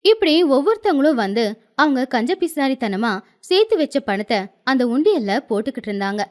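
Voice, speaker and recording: A woman's voice: high-pitched, very animated, brisk. A woman narrating a scripted moral tale as a storyteller.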